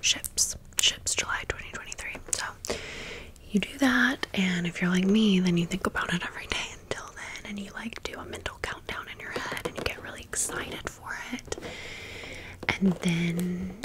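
A woman doing casual, chatty ASMR storytelling in a soft, breathy, unvoiced whisper. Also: mid-range voice. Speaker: ASMR video creator.

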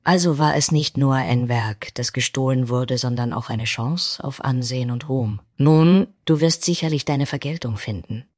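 A man's voice: medium pitch, normal speed, hardly any pauses, no room sound, studio quality, lightly acted. A man playing a loyal, hopeful warrior woman who speaks earnestly and measuredly in a fantasy audio story. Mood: earnest, supportive.

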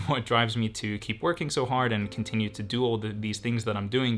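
Man in his twenties, lower voice, quick chatty delivery, home setup. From Greece. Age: 20s. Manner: quick, energetic, reads out viewer questions. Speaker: medical student YouTuber. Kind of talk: casual Q&A vlog. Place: home room or desk setup. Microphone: camera facing him at close range.

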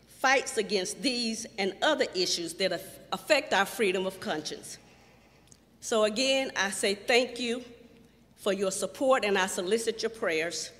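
A woman's voice: medium pitch, fairly bright tone. Older woman reading church news at a stand mic, echoey hall. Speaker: church announcer. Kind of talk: read church announcement.

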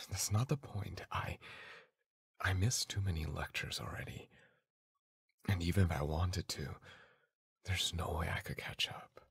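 Casting: male actor for a guarded young bully in intimate ASMR roleplay, extremely low voice, slow and halting, gruff but secretly tender. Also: heavily acted. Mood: resigned. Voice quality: husky, gravelly, breathy voice. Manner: hesitant, halting, often dropping into a whisper.

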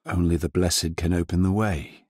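An actor playing a grim, resolute necromancer in a dark fantasy video game, solemn and deliberate. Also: male voice, sits low, fairly even intonation, no room sound, studio quality. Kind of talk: dramatic video game character lines.

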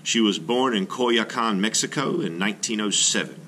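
A middle-aged man reading a children's nonfiction book aloud as an art teacher, in a clear, measured, expressive way. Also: lowish voice, slight background noise, poor audio.

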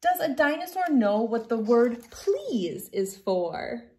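A woman in her thirties reading a picture book aloud in an expressive storytelling manner for young children. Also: on the high side, slow pace. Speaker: children's book reader and host. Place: living room at home, indoors.

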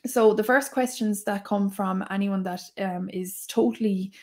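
A young woman speaks with a Waterford Irish accent, talking with animated enthusiasm. She is a podcast guest heard through a video call. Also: medium pitch, unhurried. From Ireland. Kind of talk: casual podcast interview. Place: small room at home. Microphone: video call, earphones.

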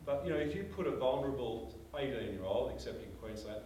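A man's voice: medium pitch, animated, fast, dark tone, a little hiss or hum. An older Australian man speaking as a panelist, telling stories emphatically in a panel discussion. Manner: emphatic storyteller, gestures while talking. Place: small meeting room. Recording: echoey room from far off.

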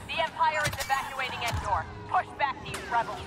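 A woman playing a resolute, fierce special forces commander in a video game, giving crisp, authoritative orders. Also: high-pitched, a little fast, lightly acted. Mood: urgent, firm. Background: game music.

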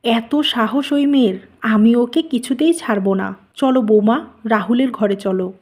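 Woman acting a high-voiced, concerned mother-in-law. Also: unhurried, darkish tone. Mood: indignant. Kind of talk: melodramatic cartoon dialogue.

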